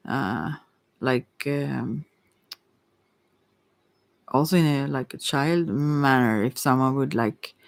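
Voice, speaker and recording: Non-native English speaker, tarot card reader, mid-range pitch, slow rambling delivery, trailing-off phrases, unscripted ASMR. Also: no echo. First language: not English.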